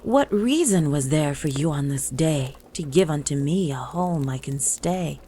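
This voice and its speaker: A woman's voice: low-pitched, lively intonation, measured pace, mellow, a little hiss or hum. A woman voicing a sad, humble, bewildered orphan boy in a rhymed storybook poem.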